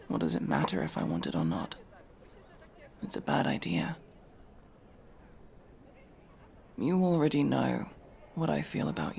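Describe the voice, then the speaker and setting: A man playing a guarded, world-weary elf mercenary, centuries old but young-looking, in an intimate, brooding ASMR fantasy roleplay with a breathy, gravelly, halting delivery. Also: fairly low pitch, a little mellow, a little hiss or hum, heavily acted. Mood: quietly resigned. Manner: brooding, halting, often dropping to a whisper.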